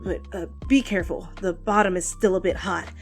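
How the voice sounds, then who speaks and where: A woman's voice: fairly low pitch, fairly even intonation, fairly quick, soft background music, close to an everyday voice. Woman acting a cheeky young pizza delivery guy. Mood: sheepish.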